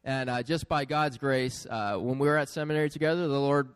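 A man from Hawaii speaking informally and haltingly as a pastor introducing a guest preacher. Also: mid-range voice, fairly even intonation, clean tone, dry recording, studio quality. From Hawaii, United States.

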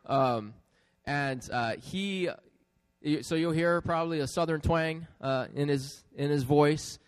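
Halting man from Hawaii, dry close sound. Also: on the high side, smooth, no room sound. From Hawaii, United States. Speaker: pastor introducing a guest preacher. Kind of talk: informal church introduction.

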